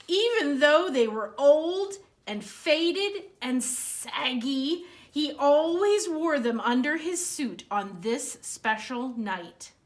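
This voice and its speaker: A woman with a high, bright voice reads a children's story aloud. She takes her time, leaning on key words with dramatic expression. Her delivery is aimed squarely at young listeners, as a library storytime reader. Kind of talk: animated read-aloud of a children's picture book.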